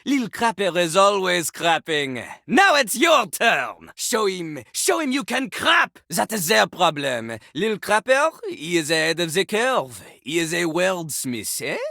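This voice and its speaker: A man's voice: sits high, sing-song, a little slow, vocal fry, hardly any pauses, bright, forceful tone, no echo, clean studio sound, exaggerated voice. A man voicing a boastful, theatrical French rapper in a video game, speaking with a French accent and exaggerated pomp, referring to himself in the third person. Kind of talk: exaggerated cartoonish video game character acting.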